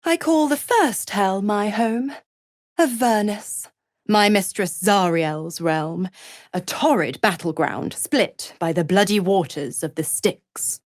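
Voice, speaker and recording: A woman's voice: mid-range voice, slow pace, no room sound, studio quality, close to an everyday voice. A woman playing a seductive, mocking devil villain in a video game, theatrical and taunting, with a cruel possessive streak. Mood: gloating. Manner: sultry, taunting, theatrical, drops into whispers. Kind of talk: dramatic video game voice acting.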